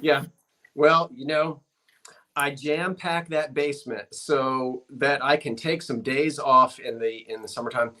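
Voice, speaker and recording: A man's voice: mid-range voice, fairly even intonation, a little slow, bright, forceful tone. A man hosting a casual live-stream sale over a video call, folksy and homespun in how he talks.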